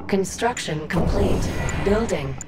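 A woman voicing a cold alien AI announcer in a strategy game, giving terse, measured, commanding status reports. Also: mid-range voice, expressive, very noisy. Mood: impersonal, commanding. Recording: loud game music over voice.

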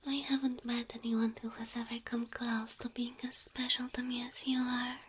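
A woman voicing a gentle, devoted, insecure cow girl girlfriend in soft, halting, intimate ASMR roleplay, often whispering. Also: a little high, little pitch movement, slight background noise, lightly acted. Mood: tender, soothing.

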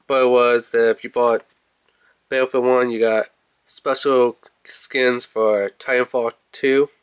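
A man's voice: mid-range voice, flat delivery, unhurried, clear, resonant tone. A man with a stuffy nose rambling casually through a video game unboxing review, full of fillers, recorded indoors at home on a phone or camera above a table. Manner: rambling, filler-heavy, talks to camera while handling the case. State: stuffy nose, congested, clearing throat. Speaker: gaming channel host.

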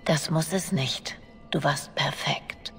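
Low-voiced, secretive female spy. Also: takes it slowly, fairly bright tone, whispered, soft background music, exaggerated voice. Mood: hushed, tender. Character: smooth, confident, secretive female spy and lover. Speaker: voice actress in a video game. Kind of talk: German fantasy game dubbing.

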